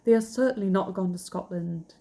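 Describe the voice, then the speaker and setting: A woman's voice: mid-range voice, a little fast, vocal fry, warm tone, natural voice. A woman reading the part of a distraught young woman whose sister has eloped, in an agitated, pleading way. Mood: agitated, despairing.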